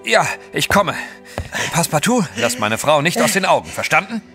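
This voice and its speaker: An actor playing a courteous, formal British gentleman adventurer in an audio drama. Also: a little high, big pitch swings, moderate pace, faint music behind. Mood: concerned, brisk. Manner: polite, formal.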